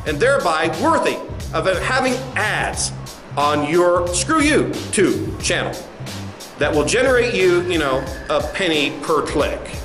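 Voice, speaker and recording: A man playing a smug, condescending corporate spokesman in a satirical comedy sketch, patronizing and given to halting asides. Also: high voice, sing-song. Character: smug, condescending male corporate spokesman addressing angry protesters. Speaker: company spokesman. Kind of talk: satirical sketch, mock press conference. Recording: roomy echo, faint music behind.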